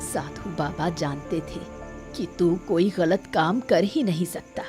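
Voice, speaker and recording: A woman plays a wise old mother from a village cartoon tale. She explains patiently in a lowish, very slow voice, with prominent music underneath. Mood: calm, revealing. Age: older. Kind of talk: scripted cartoon story acting.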